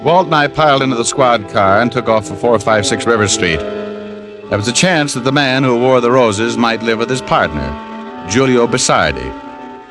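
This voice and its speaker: A man's voice: medium pitch, expressive, normal speed, music in the background, lightly acted. A man acting a laconic, world-weary American private detective in a 1950s radio drama. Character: laconic hardboiled male private detective narrating his case.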